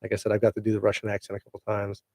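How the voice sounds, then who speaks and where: Gravelly, mellow-voiced man chatting to viewers. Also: lowish voice, monotone, very fast, dry recording. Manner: laid-back, chatty, slangy, hypes his news. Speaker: actor hosting his own show. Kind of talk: casual chat to viewers. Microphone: handheld mic held close to the face.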